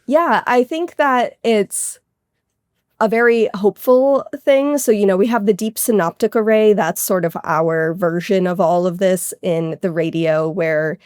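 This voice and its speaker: A woman's voice: medium pitch, unhurried, a little mellow, clean tone, no echo, clean studio sound. A woman speaking as an astronomer guest in an interview, explaining technical things in a keen, informal, conversational way. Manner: keen, informal, technical with frequent hedging asides.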